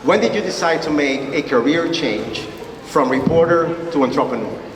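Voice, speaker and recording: A man with a slightly high voice and a non-native English accent, hosting an interview at an expo. His words carry through a roomy hall from away from the mic. A murmuring crowd fills the space behind him. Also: moderate pace. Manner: friendly, brief prompts and thanks. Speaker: event host, interviewer. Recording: echoey hall, mic far off, crowd noise.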